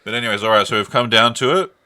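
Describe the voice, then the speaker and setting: A man's voice: medium pitch, rapid-fire, bright, forceful tone. A man with an Australian accent co-hosting a podcast in a casual, rambling way, often saying "like". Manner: rambling, hedging, heavy use of "like". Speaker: podcast co-host. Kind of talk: casual pop-culture podcast chat.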